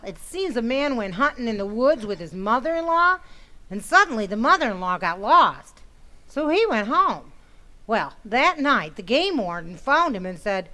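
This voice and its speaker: A woman's voice: a little high, a bit bright. A woman playing a cheeky, eager schoolboy in an old-time radio comedy. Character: cheeky, eager schoolboy son telling jokes.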